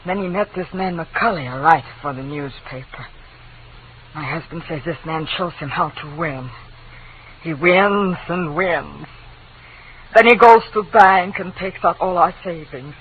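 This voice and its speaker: A woman acting a grieving, frightened but stubborn immigrant wife in halting broken English, in 1940s radio drama style. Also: fairly low pitch, close to an everyday voice. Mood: mournful, bitter. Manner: halting, lamenting, foreign-sounding broken English. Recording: tinny, noisy old radio recording.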